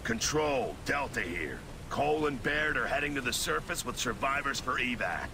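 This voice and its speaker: An actor playing a tough, terse male soldier who leads his squad with gruff authority in a video game. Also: medium pitch, very animated, normal speed, background noise. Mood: businesslike. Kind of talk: video game cutscene acting.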